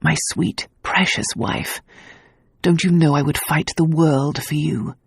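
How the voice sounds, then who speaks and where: A man voicing a gruff, protective husband. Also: fairly even intonation, moderate pace, a little mellow, no room sound, clean studio sound. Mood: tender. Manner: low, gruff and tender. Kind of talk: audiobook dialogue.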